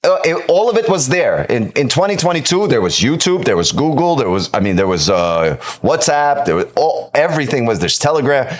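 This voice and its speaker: A man's voice: medium pitch, sing-song, fairly quick, hardly any pauses. A man from Ukraine hosting a live stream, talking casually and emphatically while answering chat, often repeating himself and adding "right?". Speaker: live-stream trading host.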